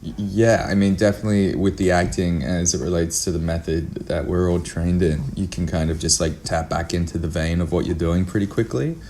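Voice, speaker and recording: A man's voice: low voice, fairly even intonation, a little fast, few pauses, warm tone, a little hiss or hum. A man speaking as an actor and producer guest in a casual interview, candid and informal, often breaking off and restarting his thought. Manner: candid, informal, mildly sweary, self-interrupting.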